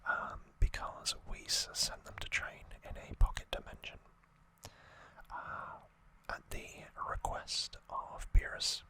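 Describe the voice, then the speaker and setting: Someone doing a slow asmr trivia recap, all whispered, crisp and bright, kinda informal. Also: poor audio. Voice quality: soft unvoiced whisper. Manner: unhurried, informal, explanatory. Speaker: ASMR creator and host.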